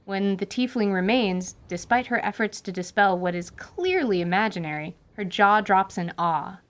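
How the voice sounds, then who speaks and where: A woman's voice: medium pitch, moderate pace. Woman narrating a radio drama. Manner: lively storytelling narration.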